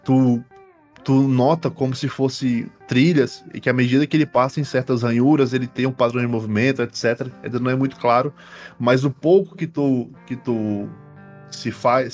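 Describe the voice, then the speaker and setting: Brazilian man narrating a tabletop game. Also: medium pitch. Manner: informal, uses slang and swearing. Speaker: game master. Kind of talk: narrating a tabletop roleplaying game, casual and improvised.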